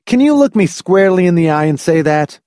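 A man's voice: a little high, sing-song, normal speed, vocal fry, a little mellow, no room sound, studio quality, voice pushed up, exaggerated voice. A man voicing an exasperated, cynical male research statistician in an audiobook, with an emphatic delivery. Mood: furious challenge. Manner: emphatic, swinging from outburst to weary mutter.

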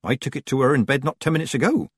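A man's voice: mid-range voice, animated, rapid-fire, slightly rough, dry recording, pitched above the performer's own voice. A man voicing a fussy, skinny village woman who scolds and sniffs with disapproval. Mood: disapproving.

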